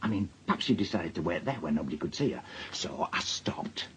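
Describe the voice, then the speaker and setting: A man's voice: low voice, sing-song, a little fast. An actor playing an anxious married man in a naturalistic radio drama, with a Northern English accent and a hesitant, defensive, pleading delivery. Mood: subdued, recounting. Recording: dull lo-fi audio, faint noise.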